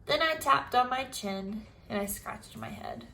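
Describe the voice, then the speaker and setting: A woman teacher giving an expressive storybook read-aloud, speaking directly to young pupils. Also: a little high, measured pace, vocal fry. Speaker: primary school teacher.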